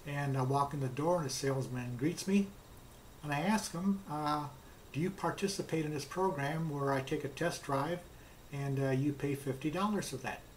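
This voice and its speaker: A man's voice: on the high side, moderate pace, slight background noise, low-quality recording. A man casually telling a personal anecdote in a plainspoken way, recounting back-and-forth dialogue as he goes. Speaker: car customer telling his experience.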